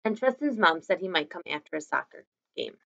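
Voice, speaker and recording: Creaky-voiced young woman reading aloud. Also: fairly low pitch, sing-song, a little fast, a bit bright, dull audio. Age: young adult. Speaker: teacher reading aloud to students. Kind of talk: expressive read-aloud of a children's novel. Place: small room indoors at home.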